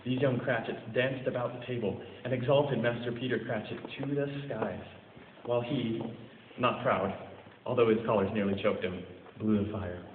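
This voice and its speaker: A man's voice: mid-range voice, steady tone, normal speed. A man giving a dramatic storybook reading in a theatrical, phrase-by-phrase delivery. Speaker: story reader. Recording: tinny, noisy, echoey hall recording.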